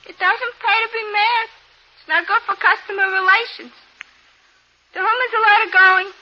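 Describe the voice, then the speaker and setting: An actress playing a practical, wisecracking secretary, brisk and deadpan, in 1950s radio style. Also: female voice, very high voice. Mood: wry. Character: wry, practical, shrill female office secretary. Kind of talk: 1950s radio comedy-drama acting. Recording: muffled 1950s radio recording.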